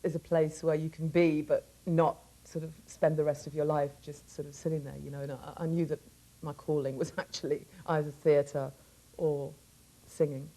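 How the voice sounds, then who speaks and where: A middle-aged woman singer from England talking casually about her life in an interview, laughing often and letting her sentences trail off softly. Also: deep voice, little pitch movement, normal speed, rough recording.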